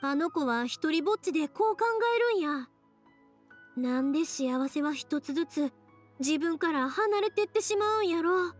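A woman's voice: high voice, expressive, moderate pace, faint music behind. A woman playing a kind, earnest young heroine in an anime game, speaking expressively with a Kansai accent. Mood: pleading, sympathetic.

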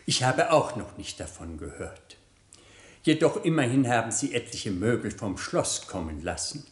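An actor playing an old, superstitious, dignified manservant in a 1960s radio play, formal, deferential and solemn. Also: male voice, mid-range voice, sing-song, moderate pace, close to an everyday voice. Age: older. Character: old, superstitious, dignified male servant to nobility. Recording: roomy vintage radio play recording.

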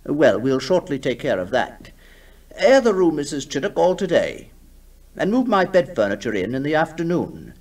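A man playing a brisk, imperious country squire. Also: fairly high pitch, sing-song, darkish tone. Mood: impatient, commanding. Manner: commanding, clipped, dismissive. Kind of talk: 1960s radio drama acting.

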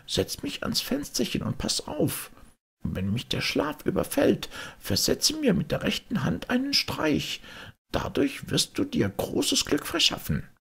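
Small enchanted female dove, played by a man, very high and husky, pleading softly, for a storybook. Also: exaggerated voice. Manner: gentle, pleading.

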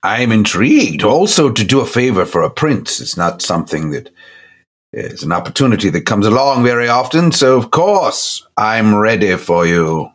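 A man's voice: lowish voice, very animated, normal speed. A man voicing a sarcastic, theatrical vampire courtier in a tabletop game, with an exaggeratedly gallant way of talking. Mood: intrigued, flattering.

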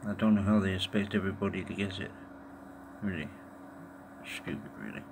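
A man giving a casual, halting hands-on demonstration, muttering to himself in an informal way. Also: low-pitched. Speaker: tech video maker. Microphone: handheld phone or camera held over the device. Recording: noisy amateur handheld camera recording.

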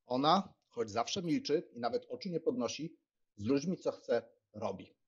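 A man acts out a young country gentleman for a dramatised audiobook. He is frank and teasing, with a husky, expressive delivery. The sound is slightly dull. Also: medium pitch, moderate pace. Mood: frank, chatty. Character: young Polish country squire, frank, teasing, deferential brother.

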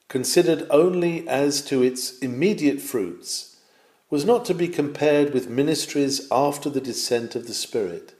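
A man's voice: mid-range voice, darkish tone. Man reading an old sermon, roomy echo. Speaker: sermon reader. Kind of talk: reading a 19th-century sermon aloud.

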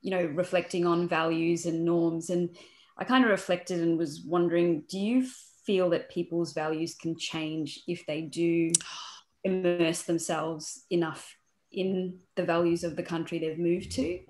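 A woman's voice: on the low side, fairly even intonation, unhurried, darkish tone. A woman born in Singapore and raised in Australia asking a hesitant question on a video call. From Singapore, grew up in Australia. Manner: hesitant, many "you know"s. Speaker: audience member asking a question. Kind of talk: casual question. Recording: echoey room on video call.

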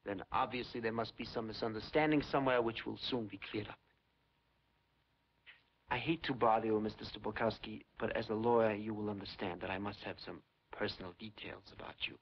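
An actor playing a polite, unctuous secret-police major who feigns sympathy in a 1950s film. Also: male voice, lowish voice, steady tone, a little fast, breathy edge. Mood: mock concern. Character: smooth, falsely courteous Soviet secret-police major interrogating a prisoner. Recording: dull, noisy 1950s film soundtrack.

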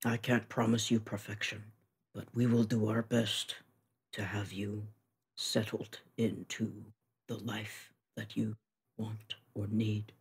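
A man playing a solemn, dutiful companion in a tabletop game, husky, measured and formal. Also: lowish voice, level tone, heavily acted. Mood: solemn, supportive.

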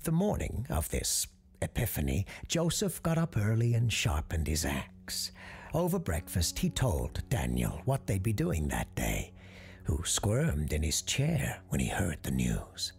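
A man playing a genial parapsychologist radio host who tells Christmas ghost stories in a husky, creaky, measured, genteel voice. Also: low-pitched, huge pitch swings, darkish tone, heavily acted. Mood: storytelling. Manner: genteel, measured storyteller's delivery. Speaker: host and storyteller of an audio fiction show. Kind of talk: scripted storytelling, radio-show monologue.

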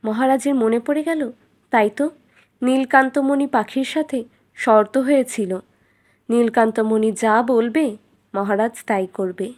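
A woman's voice: a little high, measured pace, pauses often, a little mellow. A woman reading scripted narration as the storyteller of a children's fairytale.

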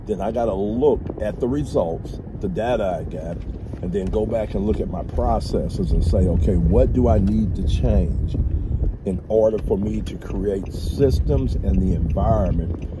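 A middle-aged man with a very low voice talks earnestly to his viewers, like a coach, dropping "right?" after his points. He is recorded on a dashboard camera in a moving car. Loud road noise runs under everything he says. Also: measured pace. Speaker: vlogger and small business owner. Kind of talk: casual motivational vlog talk. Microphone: camera mounted on dashboard.

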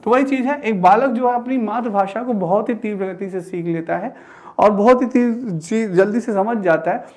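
A man's voice: sits high, normal speed, darkish tone. A man in his thirties giving an explanatory classroom lecture, repeating phrases and asking rhetorical questions. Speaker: teacher giving an exam-prep class. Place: indoor studio with digital teaching board.

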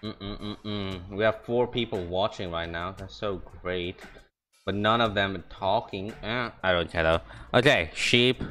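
A young man casually talking to himself while streaming a video game, rambling and joking, with high yelps in fights. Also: a little slow, bright, forceful tone. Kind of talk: casual gaming stream commentary.

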